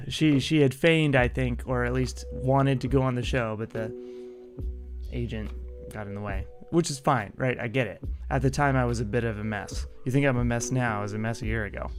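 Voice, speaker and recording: A man hosting a solo podcast, talking in a casual monologue that is punchy and combative, with free swearing. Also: mid-range voice.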